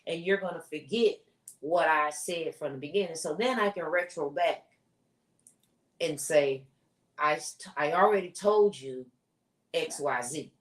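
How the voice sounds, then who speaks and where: A woman with a loud, strong voice, chatting bluntly and emphatically as a podcast co-host. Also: low voice, measured pace, pauses often. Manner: blunt, emphatic, repeats herself. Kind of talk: casual chat. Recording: echoey room, far from mic.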